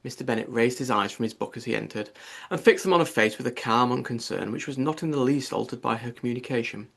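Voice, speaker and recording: A man's voice: medium pitch, fast talker, vocal fry, a bit bright. A man reading a classic novel aloud as an audiobook narrator, in scripted literary narration.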